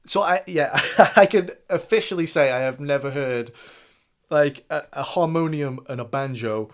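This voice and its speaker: A man's voice: a little high, moderate pace, faint music behind. A young man with an English accent hosting a reaction video, thinking aloud in casual commentary with frequent restarts and fillers. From England. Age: young adult.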